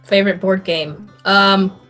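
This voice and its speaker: A young woman from Memphis, a musician guest telling stories in a relaxed chat, with lots of ums and you knows. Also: medium pitch, unhurried, faint music behind. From Memphis, Tennessee, USA. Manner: chatty storyteller with many ums and you knows. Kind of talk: casual interview chat.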